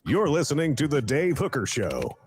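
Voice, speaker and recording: A man's voice: on the high side, huge pitch swings, moderate pace, loud music behind the voice. A man doing a polished promo voice-over for a sports show. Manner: smooth, polished promo delivery. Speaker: show announcer.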